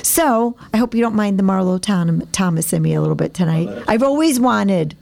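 A woman hosting a hospital talk show, hoarse and raspy from losing her voice, chatty and upbeat. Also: mid-range voice, animated, brisk, darkish tone. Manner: chatty, upbeat, laughs often.

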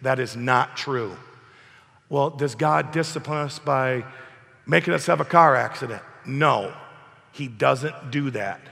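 A man preaching an emphatic sermon while hoarse from a cold, asking his congregation questions. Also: mid-range voice, unhurried, a bit bright, big-room sound. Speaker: pastor. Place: church hall.

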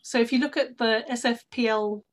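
A woman from London speaks as a podcast guest in a casual, chatty style. Her voice is pitched slightly high. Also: fairly even intonation, fairly quick. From London, England. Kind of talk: casual chat.

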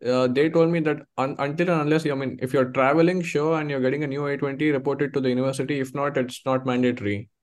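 A man's voice: mid-range voice, steady tone, fast talker, few pauses. A young man calling in to a conference call, explaining his situation and asking for advice. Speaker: caller seeking immigration advice.

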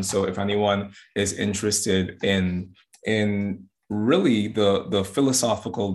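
A man's voice: fairly low pitch, darkish tone. A man from the Bahamas, a guest astrologer and yoga teacher, talking earnestly in a casual interview and often restarting his words.

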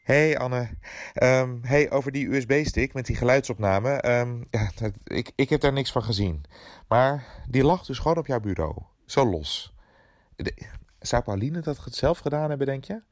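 An actor playing a worried, puzzled colleague leaving a hesitant, informal voicemail in an audio drama. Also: male voice, mid-range voice, moderate pace, no room sound, clean studio sound, close to an everyday voice. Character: worried, puzzled male colleague at local newsroom.

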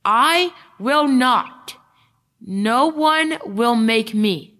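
A woman playing a stubborn, mocking schoolboy bully in an amateur school radio play, with slow, deliberate delivery. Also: fairly high pitch, lively intonation, a bit bright, exaggerated voice. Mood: defiant.

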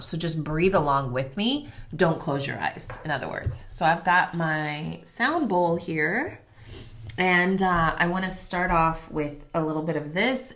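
A woman's voice: low-pitched, fairly bright tone. A woman with a slightly congested voice, hosting in an unscripted, instructive way with guided breathing and casual personal talk. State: slightly congested voice after illness. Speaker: podcast host and meditation guide.

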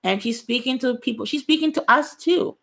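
An earnest woman speaks with a gravelly, creaky edge to her voice. She talks about her work as a guest author, leaning on repeated phrases to drive each point home. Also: a little high, a little fast, fairly bright tone. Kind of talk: reflective interview answer.

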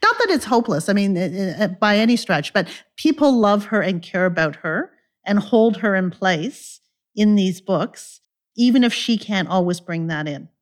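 A woman's voice: medium pitch, lively intonation. Thoughtful woman interviewer. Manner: thoughtful, halting with fillers, enthusiastic. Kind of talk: conversational interview.

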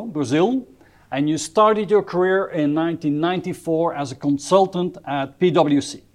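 Higher-voiced man hosting a business interview. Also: darkish tone. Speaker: interview host.

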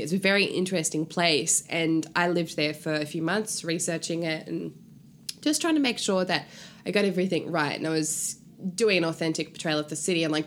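Chatty, lower-voiced woman in a noisy room. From New Zealand. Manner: chatty, jokey, slangy. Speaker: guest author. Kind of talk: casual conversational interview. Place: hotel room. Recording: background noise in room.

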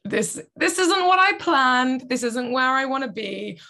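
A woman, co-hosting a casual podcast, her voice tired and a little croaky. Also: high-pitched, lively intonation, measured pace, sharp tone. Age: 20s. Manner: chatty, reflective, quotes her own inner thoughts. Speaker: podcast co-host. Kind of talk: casual chat.

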